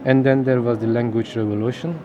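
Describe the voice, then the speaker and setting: A man in his thirties from Turkey, speaking English with a Turkish accent as an interview guest, halting and searching for words. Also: mid-range voice, fairly even intonation, background noise. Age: 30s. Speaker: linguist guest. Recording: outdoor crowd chatter behind.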